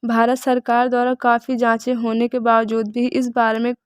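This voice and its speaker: A woman's voice: a little high, monotone, moderate pace, smooth, dry recording, clean studio sound. A woman reads a scripted educational narration in an earnest, recitation-like way. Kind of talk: scripted narration, read-aloud biographical essay.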